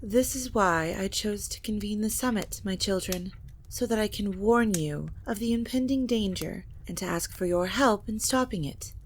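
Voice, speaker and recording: A woman playing an ancient, scheming vampire matriarch in an audio drama, speaking in a measured, formal and grave manner. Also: mid-range voice, a little hiss or hum, natural voice. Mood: grave, resolute. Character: ancient vampire matriarch, scheming scholarly mother of grown children. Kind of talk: scripted audio drama acting.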